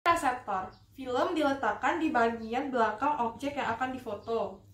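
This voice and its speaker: A young woman with a fairly high voice presents a rehearsed demonstration, politely and step by step. She sounds a little far from the mic in a very echoey room, with faint noise behind her. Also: normal speed, a bit bright. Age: young adult. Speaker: dental student operator giving a skills demonstration. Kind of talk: rehearsed instructional demonstration with patient role-play.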